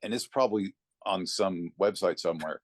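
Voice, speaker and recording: A man's voice: sits low, normal speed, no room sound, clean studio sound. A man talking casually as a guest on a podcast. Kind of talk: casual chat.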